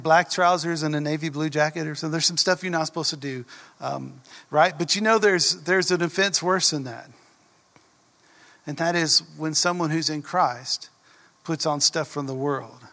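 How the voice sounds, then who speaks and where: A folksy man preaching from the pulpit, swinging between lively, raised lines and near-whispers. His voice is bright and fairly high for a man. Long reverb trails after him through the church. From Texas. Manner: folksy, animated, drops to a whisper for emphasis. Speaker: pastor. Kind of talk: sermon.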